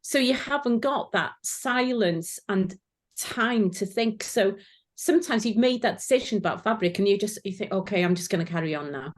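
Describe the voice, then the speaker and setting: A woman's voice: mid-range voice, lively intonation, normal speed. A woman whose first language is Welsh, chatting casually as a guest and telling stories with many fillers. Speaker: guest, former sewing contestant. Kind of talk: casual chat.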